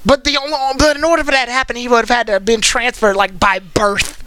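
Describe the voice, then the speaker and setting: A bright, crisp-edged host voice in casual conversation at a middling pitch. The delivery is that of an animated, wry storyteller walking through a series and picking at it. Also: fast, a little hiss or hum. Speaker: host of an anime commentary stream. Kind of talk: casual chat recapping and critiquing a cartoon series.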